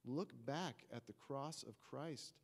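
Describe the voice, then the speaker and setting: A man's voice: medium pitch, takes it slowly, vocal fry. A young man giving an informal sermon in short, emphatic, repeated sentences. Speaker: preacher, seminary student. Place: church stage, indoors. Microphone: handheld microphone. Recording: echoey hall with long reverb.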